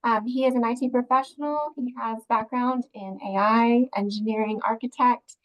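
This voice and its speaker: A woman hosting a church career ministry session, introducing a guest in a halting way with many pauses. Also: fairly high pitch, steady tone, low-quality recording. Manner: halting, many ums and uhs. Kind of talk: introducing a speaker.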